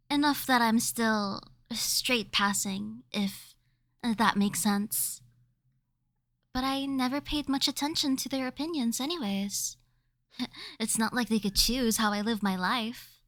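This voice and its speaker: Girl acting as chill young woman in asmr roleplay, bit high, super dry sound, pauses a lot. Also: a bit bright, dry recording.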